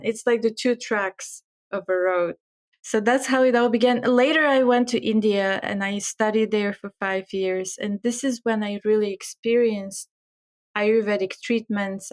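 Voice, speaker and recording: A middle-aged woman from Bulgaria with a Bulgarian accent, a guest telling her story earnestly in an interview and laughing often. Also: medium pitch. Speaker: guest chef and author.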